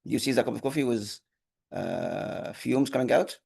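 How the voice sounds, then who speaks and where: A man whose first language is Arabic, teaching an online programming class, halting with many fillers and restarts. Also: mid-range voice, vocal fry, darkish tone, breathy edge. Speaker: instructor. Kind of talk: online lecture.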